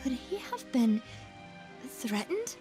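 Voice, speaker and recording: A woman's voice: sits high, very animated, takes it slowly, warm tone, soft background music, full character voice. A woman playing a poised, earnest young female gang leader in a video game, speaking politely and articulately. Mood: investigative.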